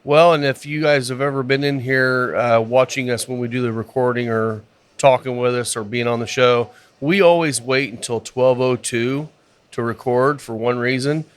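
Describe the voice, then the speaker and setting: A man's voice: medium pitch, fairly even intonation, moderate pace, clear, resonant tone. Laid-back man hosting a cigar chat. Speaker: podcast co-host. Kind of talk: casual chat. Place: cigar lounge.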